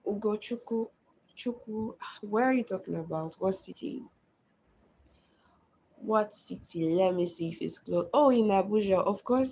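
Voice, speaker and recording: A woman's voice: on the low side, creaky, mellow, slight background noise, low-quality recording. A woman in her twenties chatting on a live stream, reading and answering viewer comments one by one. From Nigeria. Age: 20s.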